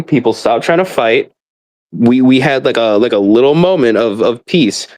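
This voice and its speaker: A man with an average-pitched voice delivers a casual, rambling rant in the way of a fed-up gamer. He is emphatic and exasperated, leaning on his words for weight. His talk is informal and peppered with profanity. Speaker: gamer ranting about a mobile game.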